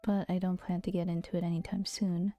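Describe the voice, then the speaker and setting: A woman streaming and chatting close to the mic, her voice soft and gentle and often dropping into a half-whisper. Her tone is mellow, and she teases playfully with frequent giggles. Quiet music plays faintly behind her. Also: fairly low pitch, flat delivery, fairly quick. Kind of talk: casual chat stream. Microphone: close to a regular mic.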